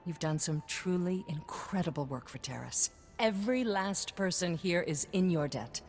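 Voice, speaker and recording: Game role: ceremonious female official, lowish voice, long reverberant hall. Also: lively intonation, moderate pace, a little mellow, soft background music. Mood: gracious. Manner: formal, ceremonious. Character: formal, gracious female government official. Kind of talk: video game character acting.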